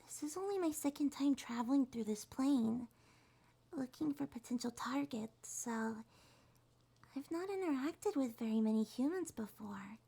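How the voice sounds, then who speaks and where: A woman voicing a shy, sweet young succubus monster girl in a soft, breathy, hesitant ASMR roleplay. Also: high-pitched, lively intonation, normal speed, exaggerated voice. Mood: shy, gentle. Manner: hesitant, gentle, slightly stammering.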